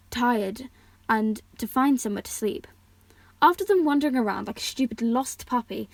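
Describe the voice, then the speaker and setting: High-voiced young woman reading, dry close sound. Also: vocal fry, no echo. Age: teenager or young adult, still at school. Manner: informal, stumbles and self-corrects over words. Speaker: amateur audiobook reader, channel host. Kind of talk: reading a story aloud with casual asides.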